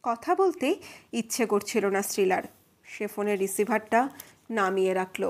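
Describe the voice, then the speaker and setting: A woman's voice: mid-range voice, moderate pace. A woman narrating a detective audio story in a dramatic storytelling style.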